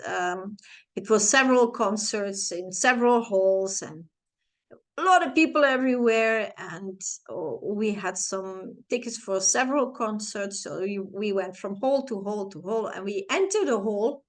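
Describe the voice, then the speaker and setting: Flemish woman telling a personal story. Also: medium pitch. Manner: halting, searching for words, many restarts and fillers; expressive, playful sound effects. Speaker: channel host, life coach.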